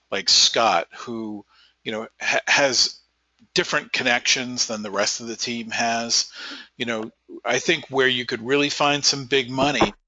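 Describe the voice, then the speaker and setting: Lowish, bright, forceful man on a conference line; earnest pitch; dull lo-fi audio. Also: dry recording. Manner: hesitant at first, then builds an earnest pitch. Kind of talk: casual business meeting talk.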